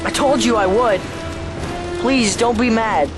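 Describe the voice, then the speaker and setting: A young boy acted by a woman in an audio drama, high in pitch and full of distress. He pleads as an alien presence takes hold of him. Music plays clearly behind the voice. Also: a little slow, close to an everyday voice. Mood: remorseful.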